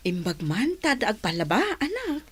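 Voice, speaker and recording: Woman acting a husky, patient village mother. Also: medium pitch, sing-song, moderate pace. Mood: willing. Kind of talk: Filipino radio drama acting.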